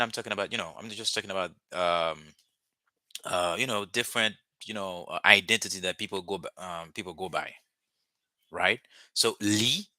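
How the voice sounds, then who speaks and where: A young Haitian man with a Haitian accent, a native Haitian Creole speaker, teaching a live online language lesson and patiently repeating words slowly for his learners. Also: fairly low pitch, sharp tone, no echo.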